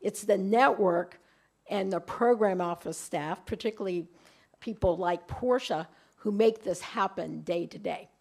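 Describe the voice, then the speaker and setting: A woman's voice: medium pitch, vocal fry, darkish tone. A middle-aged woman with a light voice gives a conference presentation in a room, often restarting and repeating words. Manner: halting, repeats words while speaking. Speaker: invited speaker at a webinar.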